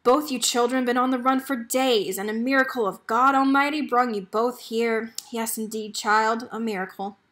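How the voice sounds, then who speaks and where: A woman's voice: a little high, moderate pace, hardly any pauses, a bit bright, lightly acted. A woman voicing a loving, devout mother from a storybook, with tender, folksy rural speech. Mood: awed, grateful.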